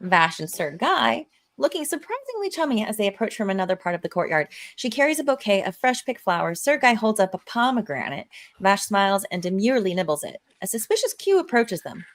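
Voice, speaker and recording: A woman's voice: medium pitch, very animated, fairly quick. A woman reading stage directions as narrator in a live amateur table read, with a dramatic storytelling manner.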